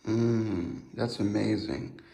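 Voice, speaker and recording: A man's voice: on the low side, steady tone, slow, creaky, warm tone, echoey room. A man hosting a podcast interview, asking loose, hesitant questions full of fillers. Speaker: podcast host. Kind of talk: casual interview.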